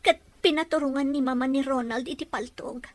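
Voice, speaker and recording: A woman playing a gentle, heartbroken but resolute young woman leaving home in an audio drama, speaking earnestly. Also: high-pitched, brisk, dry recording, lightly acted. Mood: grave, hurt. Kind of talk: Ilocano audio drama acting.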